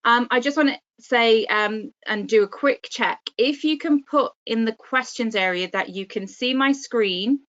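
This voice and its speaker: A woman's voice: a little high, a little slow, hardly any pauses, fairly bright tone. Upbeat woman moderating a webinar. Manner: friendly, upbeat, frequent fillers. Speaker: career consultant, moderator. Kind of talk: moderating an online workshop. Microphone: video call. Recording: dull lo-fi video call audio.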